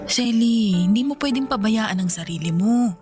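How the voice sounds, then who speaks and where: An actress voices a concerned Filipino woman in a radio drama, earnest and pleading as a loyal friend. Her voice is fairly high, with music clearly playing behind it. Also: lively intonation, normal speed, a little mellow, close to an everyday voice. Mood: consoling. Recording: clear music bed under the voice.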